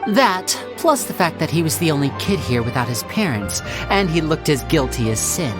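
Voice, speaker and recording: A man voicing a smooth, playful young stage magician in a video game, theatrical and teasing, over game music. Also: fairly high pitch, big pitch swings, moderate pace.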